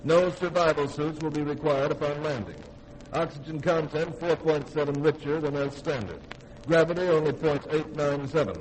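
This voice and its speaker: An actor playing a steady spaceship commander in a 1950s radio drama, brisk and authoritative. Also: male voice, a little high, natural voice, poor audio. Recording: faintly hissy 1950s radio recording.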